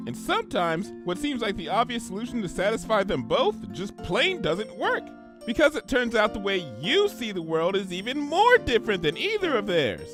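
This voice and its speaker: A man voicing a hyperactive, cheerful young female cartoon pony with a bubbly, gushing, rambling manner. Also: high voice.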